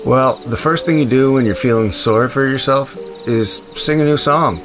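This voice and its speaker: An actor playing a wry, sentimental, quick-witted wasteland survivor in an audio drama, with a conversational, hesitant, dryly humorous delivery. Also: male voice, mid-range voice, music in the background, lightly acted. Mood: encouraging, awkward. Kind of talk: audio drama acting with narration.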